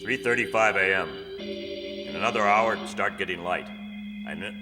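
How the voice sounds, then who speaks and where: A man performs a tough, terse undercover detective for a radio crime drama. His voice is very low and hard-boiled. It rings in a hall, with music clearly behind it. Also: lightly acted. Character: tough undercover police detective posing as a drug buyer. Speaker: actor and first-person narrator in a radio drama. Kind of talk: old-time radio crime drama acting.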